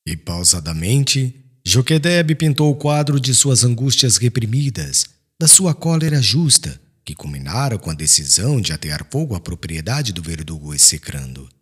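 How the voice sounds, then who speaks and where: Casting: male narrator for dramatic literary audiobook, husky, solemn, highly expressive intonation. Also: normal speed, few pauses. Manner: solemn, emotive, formal.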